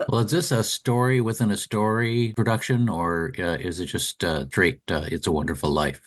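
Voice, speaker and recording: A man's voice: sits low, steady tone, normal speed, no echo. A man co-hosting a radio arts show, delivering announcements and interview questions in an enthusiastic, promotional announcer style.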